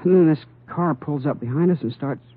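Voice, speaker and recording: An actor playing a hesitant, shaken twenty-year-old crime victim in an old radio drama. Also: male voice, fairly high pitch, fairly quick, slight background noise, natural voice. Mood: shaken, subdued. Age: 20s. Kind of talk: 1950s radio drama acting. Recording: tinny old radio recording.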